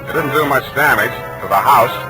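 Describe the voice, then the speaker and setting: A man's voice: mid-range voice, fairly quick, natural voice, rough recording. An American man playing a wry, hard-boiled private detective in 1940s radio style, with a dry, wisecracking delivery. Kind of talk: 1940s radio acting with first-person narration. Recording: old 1940s radio recording, music behind.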